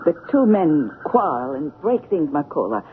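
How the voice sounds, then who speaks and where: A man's voice: fairly high pitch, very animated, measured pace, a little hiss or hum. A male actor playing a compassionate, worried villager in a 1970s radio drama, earnest and pleading. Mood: worried pity. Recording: tinny old radio broadcast.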